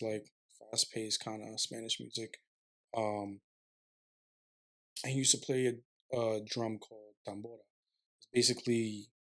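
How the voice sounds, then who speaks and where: A man's voice: lowish voice, fairly bright tone. A young man, a guest on a podcast, talking in a laid-back way with slang like "bro" and "yo". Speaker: podcast guest, musician and vocal engineer. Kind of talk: casual conversational interview. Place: warm studio under lights.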